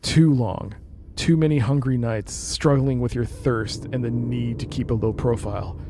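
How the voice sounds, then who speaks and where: A man's voice: medium pitch, normal speed, mellow, faint music behind. A man streaming a game, reading its narration aloud and adding casual commentary.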